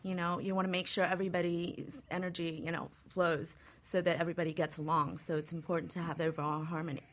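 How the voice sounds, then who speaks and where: Low-voiced woman, halting explanation. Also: little pitch movement, slight background noise. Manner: halting, leans heavily on 'you know'. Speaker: guest expert, feng shui consultant. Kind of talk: conversational explanation.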